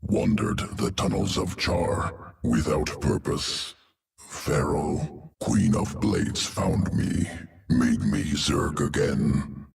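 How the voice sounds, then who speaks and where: A gravelly alien creature-shaper. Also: male voice, slow pace, darkish tone, heavily acted. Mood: cold, flat. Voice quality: deep gravelly growl. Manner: clipped broken phrasing, drops words. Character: ancient male alien creature-shaper, clipped and cold. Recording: echoey and distant over music.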